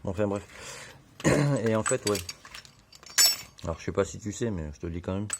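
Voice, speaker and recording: A hoarse man giving a relaxed, slangy how-to explanation full of fillers, in a home garage. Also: sits low, moderate pace, very noisy. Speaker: motorbike hobbyist.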